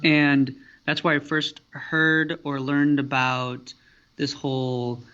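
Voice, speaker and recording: Flat-voiced man on a lo-fi line. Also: mid-range voice, clean tone. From United States. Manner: flat, halting storytelling with fillers. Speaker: guest, student affairs professional. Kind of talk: casual interview answers. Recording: dull lo-fi audio with faint noise.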